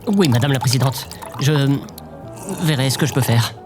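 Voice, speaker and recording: A deferential presidential aide, played by a man, speaks at a middling pitch with formal obedience and some hesitancy. Music plays clearly behind him in this radio play. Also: moderate pace, close to an everyday voice.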